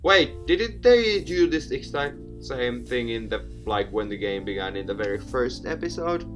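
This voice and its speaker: A man's voice: mid-range voice, sing-song, moderate pace, music in the background. A man hosting a gaming video, reading a visual novel aloud with theatrical flair and casual asides, stumbling over words. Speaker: video game let's player, host.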